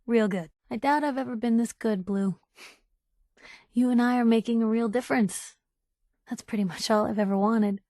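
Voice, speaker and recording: A close, dry recording of a woman acting as a spirited young reporter from a video game. Her voice sits fairly high for a woman. She is idealistic and sharp-tongued, with a wry, colloquial way of talking. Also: moderate pace, no room sound, natural voice. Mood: happy, affectionate. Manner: wry, colloquial, plain-spoken. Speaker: video game companion character.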